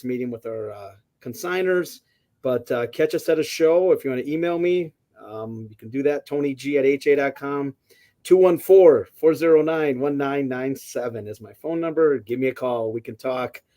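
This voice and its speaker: A man co-hosting a live auction broadcast, chatty and enthusiastic, quick to laugh. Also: mid-range voice, warm tone. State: prone to losing his voice. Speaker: auction show co-host.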